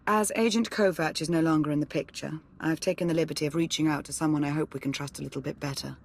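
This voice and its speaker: An actress playing a brisk, stern Sith strategist in a video game, with a dry, businesslike manner. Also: female voice, low voice, fairly even intonation, gravelly, a little hiss or hum. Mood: brisk, urgent. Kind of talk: video game cinematic acting.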